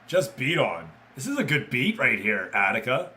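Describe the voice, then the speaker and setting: Bright-voiced man calling simulated football. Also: mid-range voice, big pitch swings, normal speed. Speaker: streamer commentating football management game matches. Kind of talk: live play-by-play game commentary with casual asides to chat.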